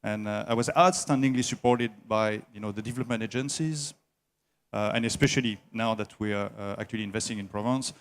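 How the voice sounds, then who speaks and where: A man heading an engineering services company, answering interview questions at a trade show in a slow, halting way with many filler sounds. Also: lowish voice, fairly even intonation, a little hiss or hum.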